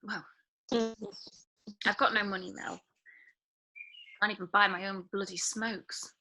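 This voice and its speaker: A woman's voice: mid-range voice, little pitch movement, measured pace, sharp tone, poor audio. A British actress reading the part of a broke, wry, awkward young woman in a script table read over a video call. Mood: bitter, wry.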